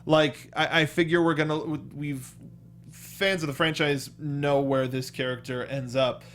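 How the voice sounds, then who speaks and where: A man with a slightly high voice co-hosts a movie review in loose, conversational style. He speaks haltingly, often doubling back to restart a thought. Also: flat delivery, fairly bright tone. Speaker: co-host of a movie review show. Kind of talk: casual conversational film discussion.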